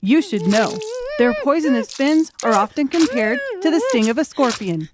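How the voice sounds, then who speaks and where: A woman's voice: a little high, sing-song, moderate pace. A woman reading scripted, dramatic audiobook narration.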